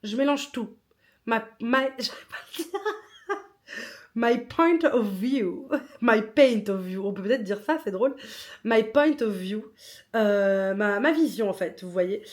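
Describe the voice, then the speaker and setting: A woman's voice: fairly high pitch, a little slow. Chatty woman mixing in English words. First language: not French. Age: 30s. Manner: chatty, switches into English mid-sentence, laughs at herself. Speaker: podcaster and writer. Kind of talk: casual personal monologue.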